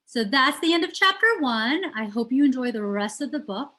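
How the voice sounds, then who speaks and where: A woman's voice: sits high, lively intonation, normal speed, fairly bright tone. A woman reads a children's novel aloud as a storyteller, putting emphasis on key words. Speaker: teacher reading aloud.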